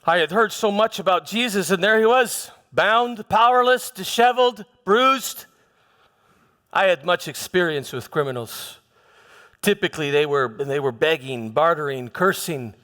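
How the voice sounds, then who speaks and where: A man's voice: high-pitched, animated, unhurried, sharp tone. A man performing a troubled Roman governor's first-person monologue, telling the story with emphatic repetition in a large hall. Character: troubled, self-justifying Roman governor Pontius Pilate recounting events. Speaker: church dramatization performer. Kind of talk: dramatic first-person monologue before a congregation. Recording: long hall reverb.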